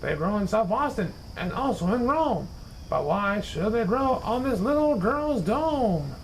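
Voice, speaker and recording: A man's voice: high-pitched, big pitch swings, unhurried, a little hiss or hum, heavily acted, low-quality recording. A man voicing a pompous, learned male school principal in a storybook, speaking in a declamatory way. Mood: lecturing.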